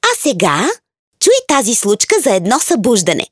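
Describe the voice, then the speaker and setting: A woman's voice: medium pitch, very animated, normal speed, slightly rough, no echo, studio quality, faint music behind. A woman narrating a children's language lesson in an upbeat, inviting way.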